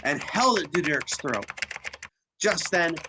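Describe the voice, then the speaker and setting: Woman as kid author, lowish voice that swings around, flustered, noisy radio play recording. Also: expressive. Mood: narrating. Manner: narrates haltingly, easily flustered. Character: earnest, exasperated kid writing a story.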